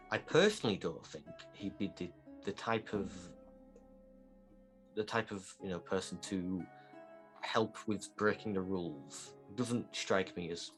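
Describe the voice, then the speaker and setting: A man with a fairly low voice plays a tabletop roleplaying game. He talks casually and haltingly, working out his moves tentatively over faint music. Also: pushed and bright.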